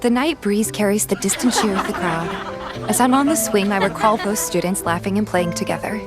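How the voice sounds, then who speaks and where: Woman acting as shy young game heroine, soft earnest voice, normal pitch, telling her story, music under it. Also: brisk, close to an everyday voice. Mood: pensive narration. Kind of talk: first-person narration and dialogue in a game fan dub.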